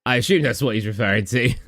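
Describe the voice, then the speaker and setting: A man's voice: medium pitch, fairly bright tone, no echo. A young man from England, chatting casually on a live stream and answering chat questions in a tired, rambling way. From southern England. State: tired, low energy.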